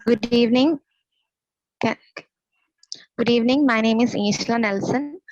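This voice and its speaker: A woman's voice: a little high, moderate pace, darkish tone, no echo, muffled recording. A young woman from Kerala, giving a brief student self-introduction. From Kerala, India. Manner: plain, listing items.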